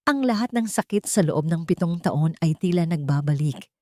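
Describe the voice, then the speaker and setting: Woman reading a drama story, steady and dramatic, lowish voice, dead dry room. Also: normal speed, no room sound. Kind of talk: scripted dramatic narration.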